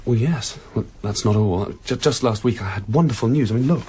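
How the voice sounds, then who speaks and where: An actor playing an earnest, defensive young poet and son in a naturalistic radio drama. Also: male voice, medium pitch, a little fast, dark tone, slight background noise, lightly acted. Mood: eager.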